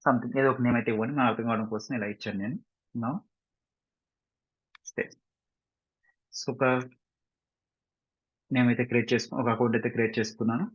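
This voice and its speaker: A man with a Telugu Indian accent giving a step-by-step software tutorial, mixing in English and often checking understanding with short tag questions. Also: mid-range voice, steady tone, fairly quick, pauses often, husky. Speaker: software instructor. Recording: muffled lo-fi mic, roomy echo.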